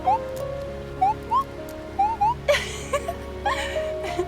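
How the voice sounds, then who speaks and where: Sweet fish-folk princess, very high, very slow, lively pitch, loud music. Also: female voice, full character voice. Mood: fond. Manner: soft, gentle, sweet. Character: gentle young fish-folk princess, kind healer. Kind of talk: video game cutscene acting. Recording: loud game score, rain behind.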